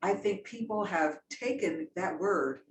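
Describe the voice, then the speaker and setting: A woman's voice: on the low side, measured pace. A woman pastor on a panel, telling a story in an earnest, reflective way. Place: event room. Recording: roomy distant sound with faint noise.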